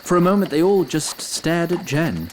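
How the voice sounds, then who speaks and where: A man's voice: on the high side, very animated, moderate pace, slight background noise. A man playing an ancient immortal storyteller, narrating a fantasy audio drama with theatrical, wry asides. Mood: measured.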